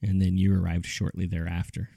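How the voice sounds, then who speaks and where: A man hosting a story podcast, reading scripted mystery case files in a measured, serious storytelling manner. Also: low-pitched, little pitch movement, gravelly, background noise. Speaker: podcast host and narrator.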